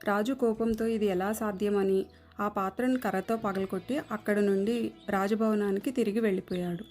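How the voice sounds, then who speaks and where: A woman's voice: mid-range voice, steady tone, fairly quick, a little mellow. A woman reading scripted narration for a moral story. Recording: music under the voice.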